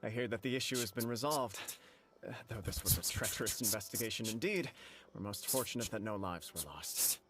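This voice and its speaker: A man's voice: fairly low pitch, a little fast, background noise, lightly acted. A man voicing a composed, diplomatic government official in a video game, speaking politely and formally. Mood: courteous.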